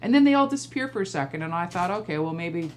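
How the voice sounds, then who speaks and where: A woman's voice: on the low side, expressive, quick pace. Middle-aged woman storyteller, noisy room. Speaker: storytelling guest, a regular host's mother. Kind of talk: casual anecdote telling.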